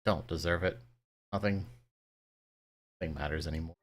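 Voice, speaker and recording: A man voicing a hurt, despairing orphan girl who speaks quietly and low. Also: steady tone, normal speed, soft background music. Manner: quiet and subdued.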